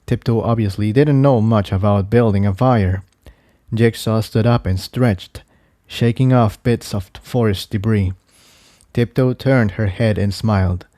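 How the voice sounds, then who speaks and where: A man's voice: lowish voice, a little slow, mellow, dry recording, studio quality. A man reading a scripted adventure story as narrator, in audiobook style. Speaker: fan fiction narrator.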